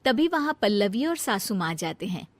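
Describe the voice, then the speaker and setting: A woman's voice: medium pitch, sing-song, normal speed, no room sound, clean studio sound. A woman giving scripted narration for a cartoon family story.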